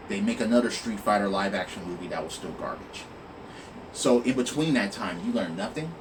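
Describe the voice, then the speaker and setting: Animated, exasperated man, noisy distant mic. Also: medium pitch. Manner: exasperated, emphatic, profane. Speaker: online video host. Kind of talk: opinionated rant to viewers.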